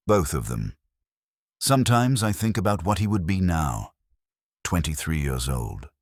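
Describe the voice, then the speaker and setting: Man voicing a deep, haunted, weary soldier. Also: no room sound, studio quality, full character voice. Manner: grave, confessional, halting short sentences. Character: weary, haunted male soldier burdened by guilt.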